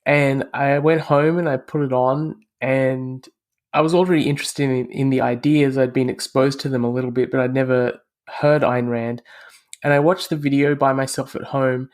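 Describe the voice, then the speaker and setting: A middle-aged man from Australia speaks as an interview guest. His voice sits at a middling pitch, and he lays out his reasoning in a measured, logical way. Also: flat delivery, a little mellow. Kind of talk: casual interview conversation.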